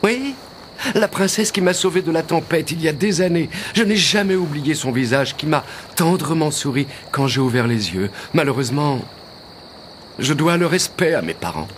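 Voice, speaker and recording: An actor voicing an earnest, affectionate young prince in an animated fairy tale, with a tender, theatrical delivery. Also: male voice, on the high side, moderate pace, slight background noise, close to an everyday voice. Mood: tender, confiding. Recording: music under the dialogue.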